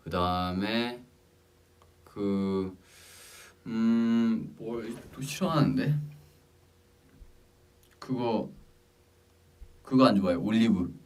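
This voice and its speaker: A young man chatting casually on a livestream, reading out comments with hesitant pauses between short remarks. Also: lowish voice, a little mellow, distant mic. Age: young adult. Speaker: idol group member hosting a livestream.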